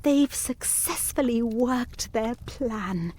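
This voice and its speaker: A woman's voice: fairly high pitch, slow, darkish tone. A woman playing an anxious, obsessive governess in a gothic audio drama, speaking formally and intensely. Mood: grave certainty. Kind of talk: audio drama acting, period literary dialogue. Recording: music playing behind the voice.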